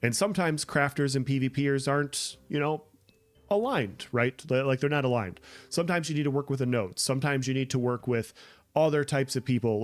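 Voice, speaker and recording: A man chatting casually on a gameplay livestream, answering viewer comments as he plays. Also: medium pitch. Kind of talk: casual gameplay stream chat.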